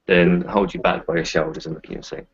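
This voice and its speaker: A man's voice: low-pitched, little pitch movement, fast talker, slightly rough, muffled recording. A man narrating his character's actions as a player in an improvised roleplaying game.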